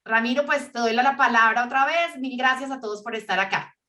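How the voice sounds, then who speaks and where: A woman's voice: fairly high pitch, a little fast, sharp tone. A woman presenting an online event, speaking formally and courteously as she hands over the floor. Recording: distant mic.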